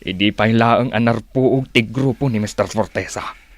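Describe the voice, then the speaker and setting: An actor playing a calm, wise old uncle who counsels in a measured, reassuring way, in an Ilocano-accented radio drama. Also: male voice, fairly low pitch, natural voice. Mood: resolute, steady. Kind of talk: Filipino radio drama acting.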